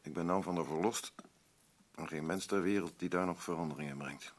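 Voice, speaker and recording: An actor playing a blunt, rambling working-class husband in a radio drama, boastful, self-pitying and defensive. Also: male voice, sits low, a little fast. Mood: bitter, defensive. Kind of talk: naturalistic radio drama dialogue.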